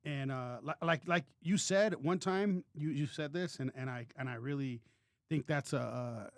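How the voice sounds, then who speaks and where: A man's voice: medium pitch, normal speed, no room sound, clean studio sound. A man co-hosting a podcast in casual chat, rambling and joking with many restarts. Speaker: podcast co-host.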